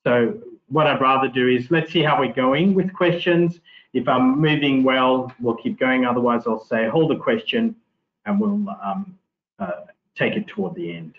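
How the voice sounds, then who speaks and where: A man's voice: mid-range voice, moderate pace. Australian man lecturing over tinny audio. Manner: relaxed, chatty, with frequent fillers and restarts. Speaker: marketing professor. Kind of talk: webinar lecture. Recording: tinny phone-like online audio.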